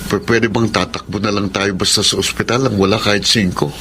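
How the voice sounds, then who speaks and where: An actor playing a frustrated, worried young husband short of money in a radio drama. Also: male voice, fairly low pitch, steady tone, brisk, pushed and bright, slight background noise.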